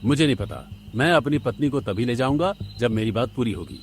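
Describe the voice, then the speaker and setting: A proud, demanding brother-in-law from a cartoon family drama, acted by a man. He is stubborn and speaks with animated pitch at a middle register. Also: fairly quick, slight background noise, close to an everyday voice.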